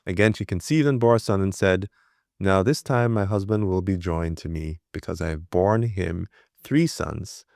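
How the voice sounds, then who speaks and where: A man with a very low voice reads scripture aloud at an even pace. The sound is dry and close, with no room around it. He now and then trips on a word and starts it again. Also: normal speed, gravelly, no echo. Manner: steady plain reading with small slips and restarts. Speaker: scripture reader. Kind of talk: reading the Bible aloud.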